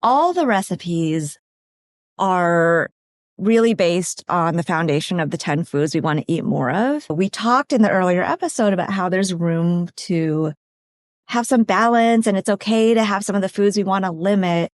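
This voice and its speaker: A woman's voice: on the low side, lively intonation, moderate pace, no echo, studio quality. A woman from California, a dietitian on a podcast, explaining food and nutrition in a practical, step-by-step way. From California, USA. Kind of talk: conversational expert explanation.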